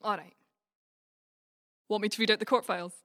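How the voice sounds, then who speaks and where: A woman with a Scottish Dumfries accent acting in an audio play as a brash, gossipy, blunt friend with a soft side. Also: mid-range voice, steady tone, a little fast, natural voice. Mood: chatty. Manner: chatty, blunt, sweary. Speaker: community cast actor. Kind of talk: community audio drama, colloquial dialogue.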